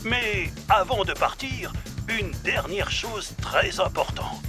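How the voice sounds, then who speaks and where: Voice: mid-range voice, animated, slow. An actor voicing a kindly old grandfather truck in a cartoon, encouraging and playful. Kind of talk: children's cartoon dubbing. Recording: loud music behind the voice.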